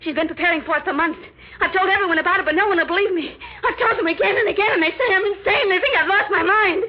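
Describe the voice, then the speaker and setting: An actress playing a frantic, terrified sister with a husky, breathy voice, urgent and pleading in a 1940s radio thriller. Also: female voice, very high-pitched, quick pace. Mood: hysterical terror. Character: terrified, frantic younger sister, thought insane. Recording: dull old-time radio recording.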